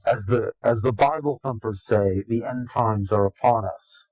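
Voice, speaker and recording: A man giving interview testimony in a grave, deliberate, halting and portentous way. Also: medium pitch, a little mellow, no room sound, rough recording. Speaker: interviewee, claimed insider. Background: music.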